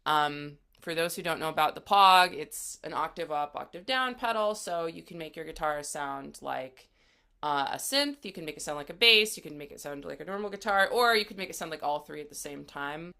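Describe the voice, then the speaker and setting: A woman's voice: low-pitched, a little fast, sharp tone, clean tone. An American woman, around her thirties, chatting and explaining things casually as an interview guest. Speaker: interview guest, guitarist and songwriter.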